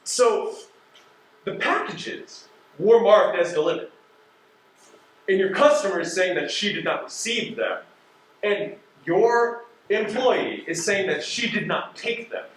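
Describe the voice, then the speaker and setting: An actor playing a pressuring, sarcastic postal inspector on stage, questioning a suspect in a deliberate, insinuating manner. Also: male voice, high-pitched, animated, fairly bright tone, full character voice. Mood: probing. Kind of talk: stage play acting. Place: theater stage. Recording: very echoey stage, distant microphone.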